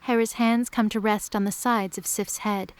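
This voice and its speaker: A woman's voice: medium pitch, fairly even intonation, normal speed, smooth. A woman narrator reading scripted dramatic story narration in an audio drama.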